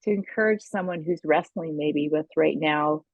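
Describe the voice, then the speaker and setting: A woman's voice: low-pitched, moderate pace, dry recording. A woman hosting a conversational interview, effusive and affirming, over a narrow, call-like connection. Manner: affirming, effusive, gropes for words in lists. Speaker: podcast host.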